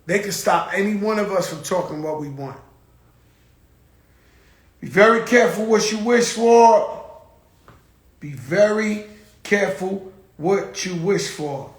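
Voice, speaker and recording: A man's voice: high voice, expressive, a little slow, pauses often, reverberant. A man hosting his own show, talking in a blunt, profane, rambling casual monologue. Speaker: show host.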